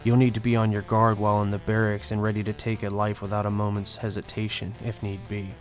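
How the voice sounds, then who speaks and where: A man plays a calculating spirit-like inner voice from an audio drama, formal and coolly goading, his voice sitting a bit low. Faint music plays underneath. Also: completely flat delivery, fast talker, close to an everyday voice. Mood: stern warning. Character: cold, calculating male inner-voice companion. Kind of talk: amateur audio drama acting.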